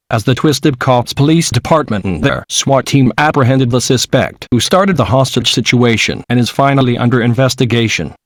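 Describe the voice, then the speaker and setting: A man's voice: medium pitch, no echo, clean studio sound, natural voice. A man playing a local television news anchor reading a scripted newscast.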